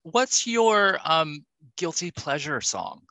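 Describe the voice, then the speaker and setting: A male podcast host with a very bright, forward voice in a dry, close recording. He takes his time, asking casual questions in a measured, encouraging way. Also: fairly high pitch, expressive, no room sound.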